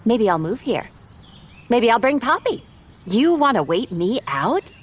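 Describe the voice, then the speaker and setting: Audio drama sister: stubborn, tense, guarded young mother, defiant delivery, very animated pitch. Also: unhurried, slightly rough, heavily acted.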